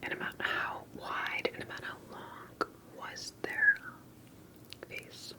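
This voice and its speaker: Woman whispering an ASMR interview roleplay. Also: slow pace. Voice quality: soft breathy whisper. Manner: gentle, careful questioning with murmured confirmations.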